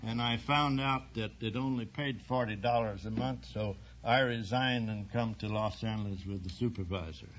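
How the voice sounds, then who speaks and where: A man's voice: lowish voice, normal speed, hardly any pauses. Man, middle-aged, from Texas, quiz contestant, conversational answers, muffled 1940s radio, faint noise. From Texas, United States. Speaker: quiz contestant, local government official.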